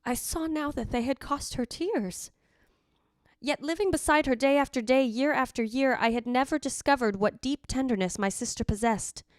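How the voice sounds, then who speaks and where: A woman's voice: sits high, normal speed, no room sound, natural voice. A woman playing the yearning, possessive ghost of a dead wife, narrating formally in old-time radio drama style. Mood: wistful. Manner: formal, literary, theatrical delivery.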